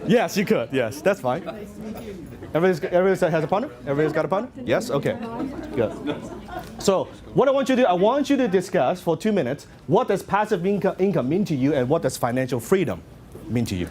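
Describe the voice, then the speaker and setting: Lively man with a Hong Kong accent. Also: a little high, fast talker, crowd noise. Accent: Hong Kong Cantonese. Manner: lively, interactive, asks the audience questions. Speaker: seminar speaker. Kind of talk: live seminar talk to an audience.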